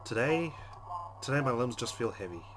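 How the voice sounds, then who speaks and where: A man's voice: mid-range voice, lively intonation, normal speed, noisy background. A man hosting a let's play, reading visual novel narration aloud in a dramatic way. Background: game music.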